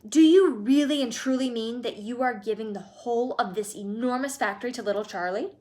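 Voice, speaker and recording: A woman's voice: a little high, brisk, a little mellow, lightly acted. Woman doing a kindly old grandfather. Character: elderly grandfather, kindly and astonished.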